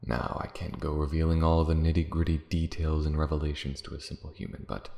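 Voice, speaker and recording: A man playing a proud, bitter, ancient dragon in a fantasy ASMR roleplay, brooding and scornful, theatrical, dipping into hushed breathy tones. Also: very deep voice, little pitch movement, brisk. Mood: proud, wistful. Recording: roomy echo, faint background noise.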